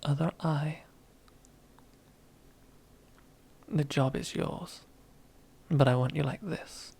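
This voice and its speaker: A woman's voice: sits low, unhurried, gravelly, warm tone, husky, heavily acted. A woman playing a domineering, teasing female boss in an ASMR roleplay, half-whispering in a seductive, commanding way. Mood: controlling, soft. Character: domineering, teasing female boss relishing power over old rival.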